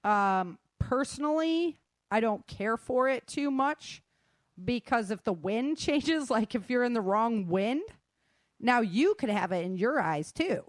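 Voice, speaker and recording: A woman's voice: on the high side, lively intonation, measured pace, no echo. A woman giving casual, conversational advice in an emphatic, plain-spoken manner. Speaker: guest sharing self-defense advice.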